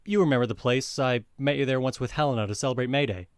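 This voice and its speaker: A man's voice: mid-range voice, fast, clean tone, no room sound, clean studio sound, lightly acted. A man playing an ardent, reassuring young lover in an audio drama, earnest and theatrical in manner. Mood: tender, eager. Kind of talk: modernized Shakespeare audio drama acting.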